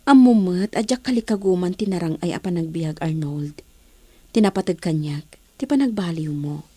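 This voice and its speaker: Mellow, breathy, gentle mother. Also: fairly low pitch, expressive, normal speed, dry recording. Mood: tender. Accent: Ilocano. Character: gentle, reserved mother of a young child. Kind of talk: Ilocano radio drama acting. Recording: music behind the voice.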